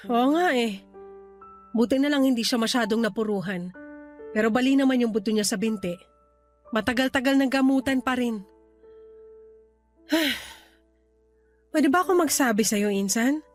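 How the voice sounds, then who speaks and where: Actress as a high-voiced, plaintive weary wife. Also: female voice, measured pace, pauses often, natural voice. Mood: weary, confiding. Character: weary, resentful young wife confiding in her cousin. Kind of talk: Tagalog radio drama acting. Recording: music bed behind the voice.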